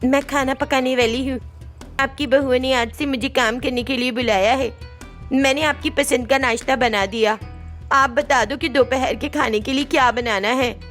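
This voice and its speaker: A woman's voice: sits high, steady tone, moderate pace, a bit bright, soft background music. A woman voicing an obliging female hired cook in a storybook tale, polite and matter-of-fact.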